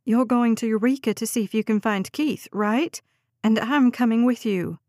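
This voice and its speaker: A woman's voice: fairly high pitch, moderate pace, darkish tone, no room sound, clean studio sound, close to an everyday voice. A woman voicing a frantic, demanding mother of a missing girl in an audiobook, urgent and pleading. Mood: angry, insistent.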